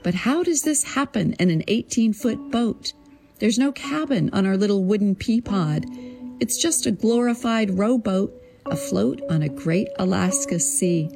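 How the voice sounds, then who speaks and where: A woman writer narrating her own memoir in an expressive, deliberate, literary way, acting out the dialogue. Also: medium pitch, gravelly, faint music behind. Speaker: creative writing teacher. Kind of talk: prepared personal storytelling, narrated memoir.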